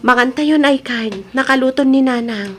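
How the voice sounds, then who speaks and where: A woman's voice: sits high, normal speed, over loud music, close to an everyday voice. A woman acting in an Ilocano radio drama as a lovestruck, stubborn adult daughter and single mother, with an Ilocano accent. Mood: pleading, earnest.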